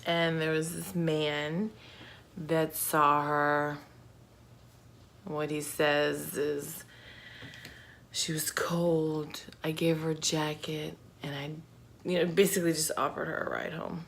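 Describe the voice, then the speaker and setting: A woman with a low voice tells true crime stories at a slow pace. She is informal and conversational, dropping in slangy asides. There is faint noise behind her. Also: level tone, vocal fry, a bit bright. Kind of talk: casual true crime storytelling.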